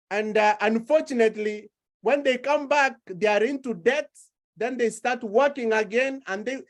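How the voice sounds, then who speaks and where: A woman preaching a sermon, slow and deliberate, building her points through repeated phrases. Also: a little high.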